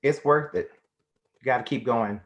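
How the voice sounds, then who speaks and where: A man's voice: mid-range voice, normal speed, muffled recording, lightly acted. A middle-aged man acting a monologue as a big-hearted, grieving garbage man, earnest and plain-spoken. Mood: encouraging. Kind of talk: acted dramatic monologue.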